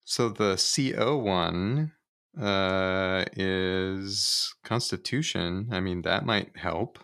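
Deep, gravelly, slow-talking man. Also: a bit bright. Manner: hesitant, thinking aloud. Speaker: player. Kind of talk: casual game chat.

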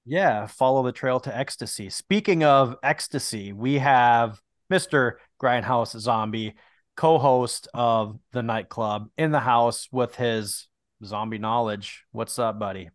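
A man's voice: medium pitch, a little slow, dry recording, clean studio sound. A man hosting a podcast, giving hyped, joking introductions in casual chat. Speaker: podcast host.

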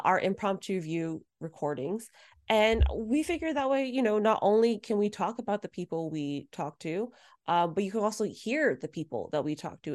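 A woman's voice: mid-range voice, a little mellow. A woman co-hosting a podcast, chatting casually and laughing easily. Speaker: podcast co-host.

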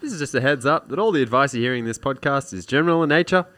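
A man co-hosts a podcast in a voice of middling pitch. He talks in a casual, friendly way and pads his lines with hesitant fillers. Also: animated, racing, fairly bright tone. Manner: friendly, with hesitant fillers when introducing the guest. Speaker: podcast co-host.

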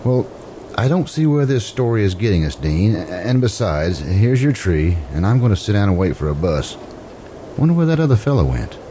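Casting: male actor for impatient, folksy questioner in radio play, low warm voice, noisy recording. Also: very animated, moderate pace. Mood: blunt, impatient. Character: blunt, inquisitive, impatient listener awaiting a bus. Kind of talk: old-time radio drama acting.

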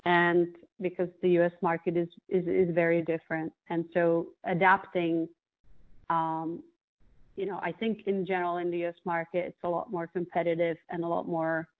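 A woman's voice: lowish voice, little pitch movement, moderate pace. A woman from the Czech Republic with a Czech accent, a guest in an interview, speaking thoughtfully with frequent restarts. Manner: thoughtful, many restarts and fillers. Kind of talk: interview conversation. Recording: phone-like narrow band.

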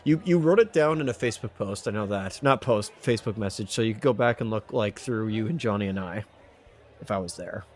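A man's voice: on the low side, fairly quick. A man hosting a podcast in casual banter, putting questions to his co-hosts. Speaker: podcast host.

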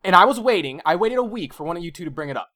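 A young man co-hosting a casual podcast, getting animated and ranting at his friends. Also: high-pitched, racing. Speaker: podcast co-host. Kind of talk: casual chat.

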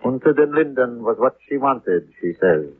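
An actor playing a polite, melancholy German police official in a 1950s radio drama, with a German accent and a formal, measured manner. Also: male voice, medium pitch, expressive, husky. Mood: dry, resigned. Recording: tinny, hissy 1950s radio recording.

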